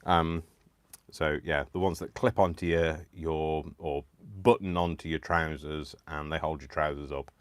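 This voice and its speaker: Low-voiced British man chatting while crafting. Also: level tone, unhurried, no echo. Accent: British English. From United Kingdom. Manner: rambling and self-deprecating, many ums and laughs. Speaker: crafting livestream host. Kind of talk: casual chat to live viewers while crafting.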